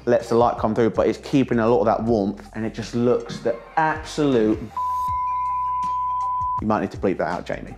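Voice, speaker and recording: A man's voice: medium pitch, darkish tone. A man in his thirties hosting a casual vlog, chatting enthusiastically and joking while walking through a warehouse under construction. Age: 30s. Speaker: vlog host.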